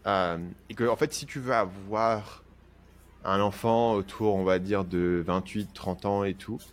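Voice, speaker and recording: A man's voice: lowish voice, steady tone, a little slow. A man co-hosting a casual podcast, asking probing questions and steering the talk. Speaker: podcast co-host. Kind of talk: casual chat.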